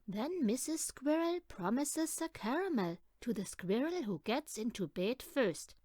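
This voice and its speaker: Female children's audiobook reader, lively swooping pitch, unhurried delivery, close dry sound. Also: dry recording. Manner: animated, deliberate storytelling for young children. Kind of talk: expressive read-aloud of a children's picture book.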